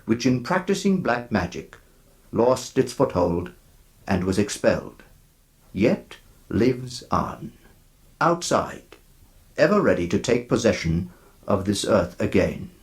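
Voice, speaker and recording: A man hosting a horror anthology with a deliberate, solemn, dramatic delivery, reading a scripted introduction in old radio style. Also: fairly low pitch, sing-song, creaky. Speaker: host of a horror audio anthology.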